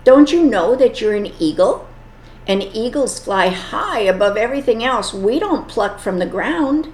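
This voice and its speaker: A woman's voice: a little high, expressive, a little slow, darkish tone, a little hiss or hum. A woman voicing a wise, urging male eagle. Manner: earnest, urging. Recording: echoey room.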